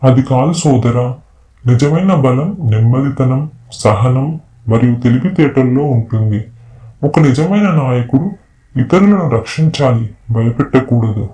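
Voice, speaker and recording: A man voicing a wise, kind elephant in a storybook tale, speaking in a polite, measured, admonishing way. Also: medium pitch, mellow, lightly acted. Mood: polite, firm. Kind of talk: storybook character dialogue. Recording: roomy echo, music behind voice.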